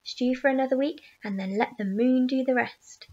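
A woman voicing a small, mysterious old man who speaks slowly and creakily, often in a coaxing whisper. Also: fairly high pitch, expressive. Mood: wild excitement. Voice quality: slow and creaky. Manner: whispering, conspiratorial. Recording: dull lo-fi recording.

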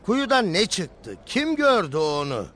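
A stern, shrewd cartoon caravan master, with a fairly high, lively voice. He questions and commands with authority over faint background noise. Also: measured pace, heavily acted. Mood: stern, demanding. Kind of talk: cartoon dubbing.

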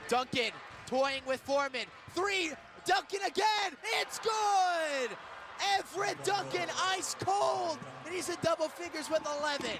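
A young man doing live radio play-by-play of a basketball game in short, punchy, excitable phrases that can rise to shouts. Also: very high-pitched, a little slow. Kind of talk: live sports commentary. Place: courtside in a college basketball arena. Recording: loud arena crowd and court noise. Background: crowd, bouncing basketball.

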